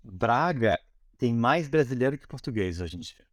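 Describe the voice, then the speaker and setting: A man's voice: mid-range voice, lively intonation, normal speed, no room sound. Brazilian man explaining in conversation, dry sound. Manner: explanatory, often seeking agreement with tag questions. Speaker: interview guest, product design manager. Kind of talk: conversational interview answers.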